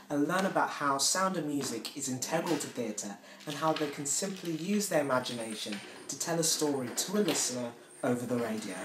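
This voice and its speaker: Man, theatre maker, medium pitch, articulate, promotional interview style, echoey room with faint hiss. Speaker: theatre company member. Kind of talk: interview to camera about a production.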